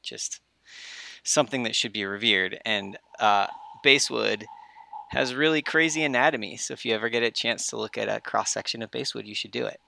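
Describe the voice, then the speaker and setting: A man's voice: medium pitch, normal speed, vocal fry, bright, forceful tone, a little hiss or hum. A man chatting casually as a knowledgeable player on a game podcast, explaining things in a laid-back, informal way. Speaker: player and plant expert on an RPG podcast.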